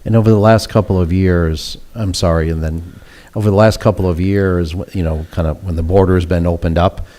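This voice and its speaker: A man's voice: low-pitched, fairly even intonation, brisk, mellow. A man on a town council, giving short acknowledgments and asking plain questions of presenters.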